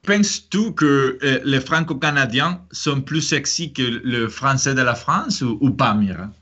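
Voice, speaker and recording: A man's voice: medium pitch, measured pace, sharp tone, slightly rough. A man whose first language is Spanish, speaking French with a Spanish accent, hosting a casual chat and often hesitating and restarting. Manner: hesitant, many fillers and restarts. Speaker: host.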